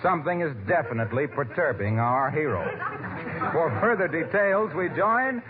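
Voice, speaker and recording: A man's voice: fairly high pitch, animated, normal speed, a crowd in the background. A man announcing in scripted 1940s radio style, arch, theatrical and polished. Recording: tinny, noisy old-time radio recording.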